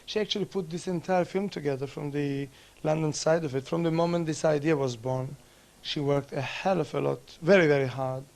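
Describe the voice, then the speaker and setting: A cheerful, energetic actor from Turkey, talking casually in an on-set interview. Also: male voice, a little high, a little fast, vocal fry, hardly any pauses. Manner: cheerful, energetic, self-assured. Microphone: camera microphone.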